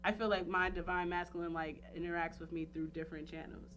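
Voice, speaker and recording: A woman in her thirties chatting casually and candidly on a talk show, animated and rambling with frequent filler phrases. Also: lowish voice, fast talker, a little hiss or hum. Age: 30s. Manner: rambling, animated, peppered with "like" and "you know".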